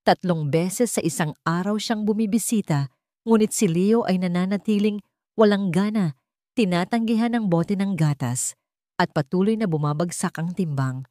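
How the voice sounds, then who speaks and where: A woman's voice: lowish voice, normal speed, dark tone, no echo, clean studio sound. A woman narrating a scripted dramatic audio story.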